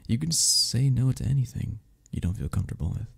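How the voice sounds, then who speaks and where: A man playing a flirty, friendly club member in an ASMR romance roleplay, teasing and reassuring in a breathy, gravelly voice. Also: medium pitch, lively intonation, a little fast, exaggerated voice. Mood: gentle, inviting. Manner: teasing, reassuring, chuckles between phrases. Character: flirty, friendly male cuddle club member showing a newcomer around. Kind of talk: ASMR romance roleplay, one-sided conversation.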